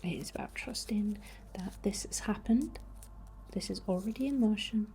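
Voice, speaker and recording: A British woman whispering in a breathy, soothing, reassuring way, as an ASMR host leading a healing ritual. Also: medium pitch, normal speed, dark tone. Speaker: ASMR host, spiritual healer.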